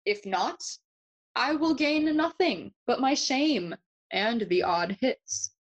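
A woman playing a brooding, mocking young Shakespearean prince in an audio play, theatrical and deliberate, moving between English and Spanish. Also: high-pitched, animated, highs cut off, heavily acted. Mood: wry, challenging.

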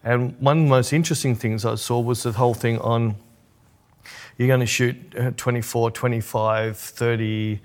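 Aussie guy podcast cohost, gravelly voice, chatty and keen explaining stuff. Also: medium pitch. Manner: chatty, animated when explaining. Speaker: podcast co-host. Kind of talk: casual chat.

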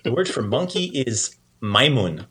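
A man's voice: mid-range voice, sing-song, unhurried, bright, forceful tone. Encouraging man hosting a casual language lesson. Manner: encouraging, praising the learner often. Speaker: podcast host teaching language lesson.

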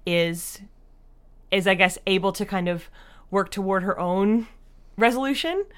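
A woman's voice: medium pitch, unhurried, smooth. A woman answering as an interview guest in a conversational way, with hesitant, filler-heavy phrasing. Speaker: interview guest, audio drama creator.

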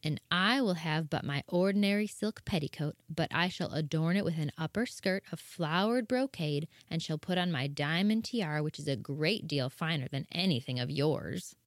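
Guy playing bragging little stepsister showing off her outfit, raised soft voice, dry sound. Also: on the high side, animated, normal speed, hardly any pauses, dry recording, voice pushed up. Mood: boastful. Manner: boastful and showy. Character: vain, boastful young woman, younger stepsister.

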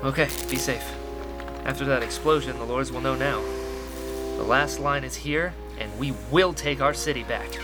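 A woman's voice: rumbling low, moderate pace, over music. A woman playing an idealistic, hot-headed young rebel radio host, earnest and impassioned, in a sci-fi radio drama. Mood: resolute.

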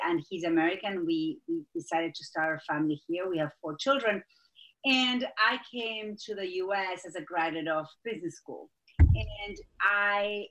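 A woman in her forties from Argentina with an Argentine Spanish accent, a guest executive coach speaking in a podcast interview, fluent and animated. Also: fairly low pitch, rough recording. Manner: fluent and animated, with fillers like "you know" and "like".

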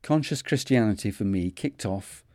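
A man in his fifties with a Manchester accent, hosting a podcast and narrating his own story in a measured, earnest way. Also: on the low side, expressive, warm tone, dry recording, clean studio sound. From North of England. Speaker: podcast host. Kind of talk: scripted reflective narration.

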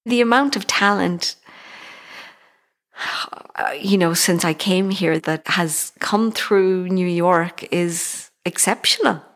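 Slow, gravelly Irish woman. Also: on the low side. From Ireland. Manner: thoughtful, unhurried, laughs softly between thoughts. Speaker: actress and interview guest. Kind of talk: reflective conversational interview.

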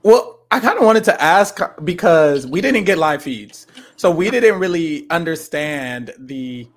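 A co-interviewer who laughs often and asks questions in a casual way. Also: mid-range voice. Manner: lively, laughs often, quick agreeing sounds.

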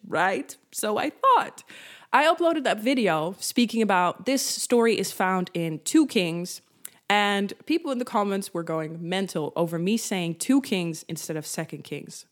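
A young woman with a Dutch accent talks English, her second language. Her devotional talk to camera is lively and chatty, and it keeps reaching out to the listener with little asides. Her pitch sits in a middle range for a woman. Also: normal speed. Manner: animated, acts out her own reactions with rising pitch; conversational, addresses viewers directly.

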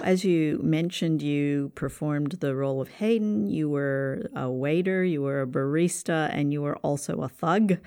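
A woman hosting a podcast interview as its writer and producer, talking in a measured way. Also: low voice, warm tone.

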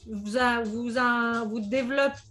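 A woman's voice: on the high side, steady tone, measured pace, pushed and bright, soft background music. A woman hosting a podcast, asking chatty questions and giving short reactions. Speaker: podcast host. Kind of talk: interview questions.

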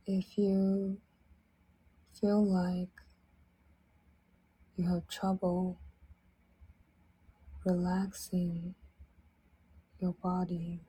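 A woman leading a guided sleep meditation in a soft, breathy, partly whispered voice, gently soothing, speaking in short phrases with long pauses. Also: on the low side, low-quality recording. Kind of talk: guided sleep meditation, slow instructional narration.

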